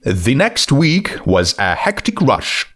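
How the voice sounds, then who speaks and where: A man's voice: medium pitch, huge pitch swings, slow, a bit bright. A man narrating a scripted story as a fan fiction reader.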